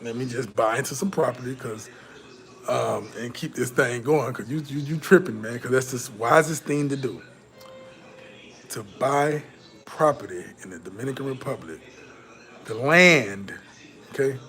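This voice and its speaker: A man holding forth in a loose vlog monologue, speaking in a mid-pitched voice. He talks bluntly with cursing and lots of "you know," swinging from loud, pushed emphasis to low, muttered asides. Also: normal speed, long pauses. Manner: blunt, profane, repetitive fillers. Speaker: vlogger. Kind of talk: casual commentary to camera.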